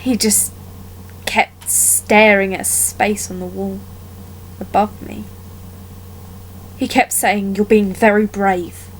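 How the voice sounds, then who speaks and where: A woman performing a dramatic monologue as a wounded young woman haunted by a childhood attack, telling it haltingly with deliberate pauses. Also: mid-range voice, flat delivery, slight background noise, close to an everyday voice, low-quality recording. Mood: pained, subdued.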